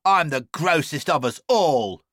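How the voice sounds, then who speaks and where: A boastful young boy in a storybook, bragging in a raised, bouncy voice, as a male narrator puts him on. Drawn out and bright, recorded dry and close. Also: fairly high pitch, expressive, measured pace, dry recording, voice pushed up. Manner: bragging, cheeky.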